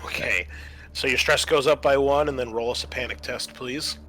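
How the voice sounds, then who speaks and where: A man with a bright, forward voice hosts a tabletop roleplaying session as game master. He moves between explaining rules and narrating the players' actions. There is noise in the background of the recording. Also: medium pitch, fairly even intonation, moderate pace.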